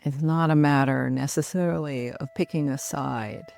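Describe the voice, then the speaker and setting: A middle-aged woman guiding a relaxation meditation in a soothing, measured manner with long pauses. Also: rumbling low, creaky, darkish tone, no room sound, clean studio sound, faint music behind. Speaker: yoga nidra meditation guide.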